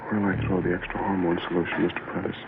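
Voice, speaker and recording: A man's voice: low voice, little pitch movement, rapid-fire, creaky. An actor playing a plain, dutiful young male assistant in an old-time radio drama. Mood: businesslike. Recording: noisy, phone-like old radio recording.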